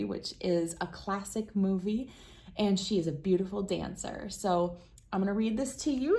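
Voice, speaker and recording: A woman hosting a read-aloud, narrating for children with expressive, deliberate phrasing. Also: a little high.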